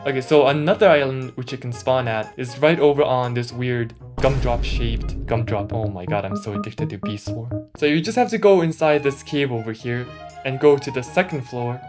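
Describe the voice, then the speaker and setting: A man's voice run through a voice changer over clearly audible background music. He gives casual gameplay commentary as a YouTuber, talking in slang and grumbling mildly, at a medium pitch. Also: a little mellow. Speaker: gaming YouTuber. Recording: music mixed under the voice.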